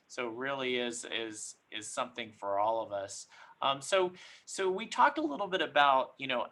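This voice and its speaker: A man's voice: mid-range voice, bright, forceful tone. A man moderating a panel discussion, affirming, with frequent stammering restarts.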